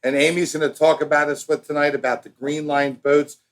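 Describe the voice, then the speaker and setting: An American man co-hosting a podcast in casual, bantering talk, with an animated, showy and teasing manner. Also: fairly high pitch, brisk. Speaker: podcast co-host.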